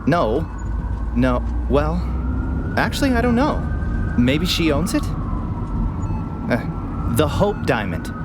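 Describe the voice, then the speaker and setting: An actor playing an eager, earnest paramedic obsessed with crime theories in an audio drama. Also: male voice, a little high, sing-song, slow pace, long pauses. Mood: speculative. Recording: clear vehicle and siren noise behind. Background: ambulance and siren sound effects.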